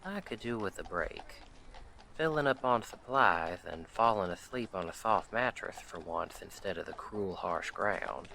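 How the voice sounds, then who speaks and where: Man playing a slow-talking, bright-voiced friendly cowboy sheriff. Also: low-pitched, animated, gravelly, slight background noise, full character voice. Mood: easygoing. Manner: folksy drawled western phrasing, unhurried. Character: friendly, protective cowboy sheriff of the Old West. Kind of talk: roleplay audio drama, speaking softly to the listener.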